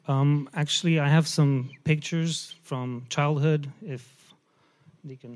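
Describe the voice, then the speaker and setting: A man's voice: a little high, fairly even intonation. Middle aged guy telling his story at church, lots of ums, kinda self-deprecating. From Romania. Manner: halting and self-deprecating, with many fillers. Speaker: church member giving testimony. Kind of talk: personal testimony.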